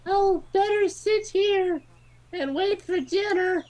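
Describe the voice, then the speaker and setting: Very squeaky, excitable narrator girl. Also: slow pace. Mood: earnest musing. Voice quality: very high-pitched, silly put-on voice. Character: excitable, pizza-obsessed narrator with a silly high voice. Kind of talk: silly character read-aloud of a visual novel.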